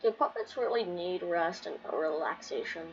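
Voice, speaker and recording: A woman's voice: fairly low pitch, normal speed. A woman giving casual commentary while playing a game, thinking aloud in a hesitant way. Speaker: gamer streaming a playthrough. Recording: dull lo-fi mic, faint noise.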